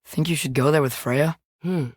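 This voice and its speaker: A man's voice: a little high, moderate pace, darkish tone, no echo, clean studio sound, close to an everyday voice. A young man voicing an earnest, curious teenage boy adventurer in a video game, with natural, inquisitive phrasing. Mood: curious, observant. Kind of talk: video game voice acting, companion dialogue.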